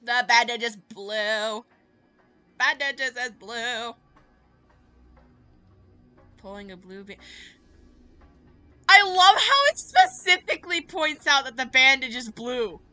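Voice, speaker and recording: A woman live-streaming a visual novel, reading it aloud and commenting in an expressive, theatrical, playfully exasperated way. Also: fairly high pitch, takes it slowly, pauses often. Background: loud guitar game music.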